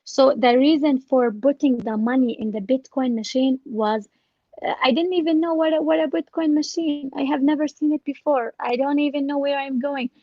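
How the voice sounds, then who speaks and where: A woman telling a personal story as an interview guest, casually and with animated retelling of exchanges. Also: high-pitched, moderate pace, dry recording, highs cut off. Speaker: interview guest, scam victim.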